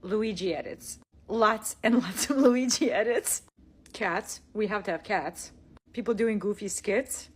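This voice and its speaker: A woman's voice: mid-range voice, a little slow, breathy edge. A woman in a social media clip, chatty and amused, walking through her app feed. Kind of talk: casual app walkthrough.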